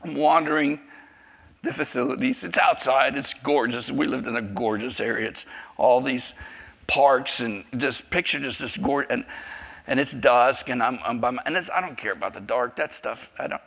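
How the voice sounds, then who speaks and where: A man's voice: medium pitch, normal speed, vocal fry, fairly bright tone. A man in his fifties from South Africa, a native Afrikaans speaker with an American accent, giving a personal testimony at a church class in a rambling, self-interrupting, jokey way. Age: 50s.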